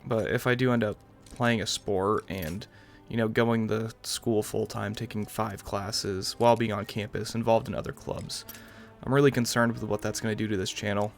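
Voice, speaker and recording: A man's voice: lowish voice, fairly even intonation, moderate pace, creaky, few pauses. A young man giving casual let's-play commentary as a gaming video host, musing aloud in a rambling way over game music. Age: young adult.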